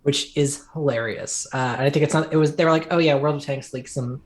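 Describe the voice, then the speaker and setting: A man co-hosting a game development stream, chatting casually with wry, relaxed asides over a video call from a home room. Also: fairly high pitch, fairly quick. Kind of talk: casual chat during a dev stream.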